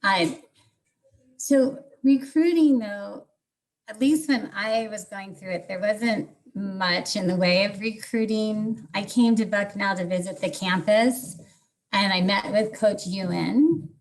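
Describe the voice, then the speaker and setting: Measured woman in her forties. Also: medium pitch, poor audio. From Southern California. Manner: measured, thoughtful, a bit hesitant. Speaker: former college tennis player. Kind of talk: casual video call interview.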